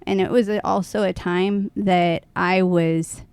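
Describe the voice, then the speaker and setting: A woman co-hosting a podcast, talking in a thoughtful, self-reflective and conversational way, with hesitant pauses. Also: medium pitch, fairly even intonation, darkish tone, smooth, no echo. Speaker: podcast co-host.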